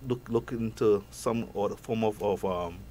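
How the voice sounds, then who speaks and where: A man with a strong Trinidadian accent, a guest expert on a talk show, explaining in halting speech with many repeated words. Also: on the low side, warm tone.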